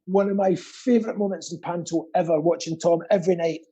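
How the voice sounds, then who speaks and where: A middle-aged man with a mellow, somewhat raspy voice hosts a quiz. He rambles in a camp, playful way and pokes fun at himself as he goes. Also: high-pitched, steady tone, brisk. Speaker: quiz host. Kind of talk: live online quiz banter.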